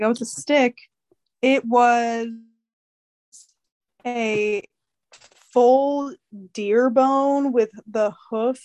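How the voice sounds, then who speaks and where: Young woman, slightly nasal, high voice, dry close sound; rambling, animated casual storyteller. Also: no echo. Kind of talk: casual chat.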